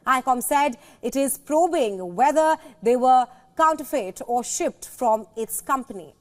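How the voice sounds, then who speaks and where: A woman's voice: high-pitched, expressive, unhurried. A woman presenting the news, reading a scripted report.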